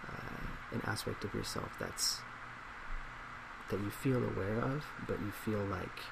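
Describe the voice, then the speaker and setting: A man in his twenties in a soft-spoken ASMR role play as a psychologist, measured and reflective. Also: on the low side, vocal fry. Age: 20s. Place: small indoor office at a desk. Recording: steady loud laptop fan hiss.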